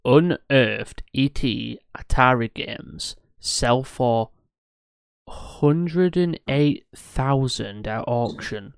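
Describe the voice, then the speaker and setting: Blunt, sweary man with smooth, dry sound. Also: mid-range voice, drawn-out words, no room sound. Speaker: podcast co-host. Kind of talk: casual chat.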